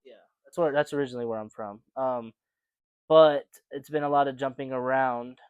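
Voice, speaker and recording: A man's voice: medium pitch, steady tone, moderate pace, smooth, no echo, lo-fi sound. A young man co-hosting a casual podcast chat, rambling with lots of fillers and restarts. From United States. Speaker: podcast co-host.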